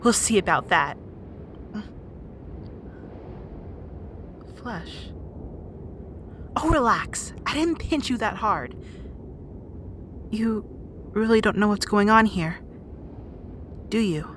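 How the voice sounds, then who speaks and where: A woman's voice: medium pitch, lively intonation, takes it slowly, long pauses, fairly bright tone, slight background noise. A woman playing a bossy, guarded young rat princess in an audio roleplay drama, with exasperated, wry asides. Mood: suspicious, wry.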